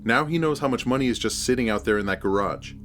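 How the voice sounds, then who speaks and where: A man's voice: mid-range voice, quick pace, a bit bright, a little hiss or hum, pitched above the performer's own voice, close to an everyday voice. A man voicing a hot-tempered, exasperated hero of a horror story, snapping and barking his lines. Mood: tense. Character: hot-tempered narrator hero, protective, exasperated adult child.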